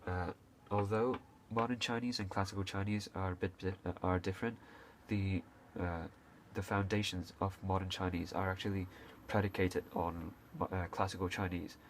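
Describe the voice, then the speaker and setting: A man's voice: low voice, level tone, normal speed, hardly any pauses, a little hiss or hum. A young man from Hong Kong talking casually to camera, with frequent fillers, restarts and a few coughs. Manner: hesitant, many fillers and restarts, occasional coughs. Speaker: video creator. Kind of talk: casual unscripted talk to camera.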